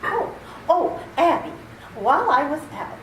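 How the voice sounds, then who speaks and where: A woman's voice: sits high, big pitch swings, unhurried, distant mic. A woman playing a prim, fussy, cheerfully matter-of-fact elderly aunt in a radio play. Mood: fussy, untroubled. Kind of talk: old-time radio play acting. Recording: echoey room, off-mic, slight noise.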